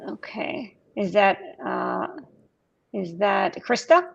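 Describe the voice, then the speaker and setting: A woman hosting a group session over a video call, gently coaxing participants with questions. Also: mid-range voice, wildly swooping pitch, slow pace, creaky, muffled recording. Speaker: host guiding a group session.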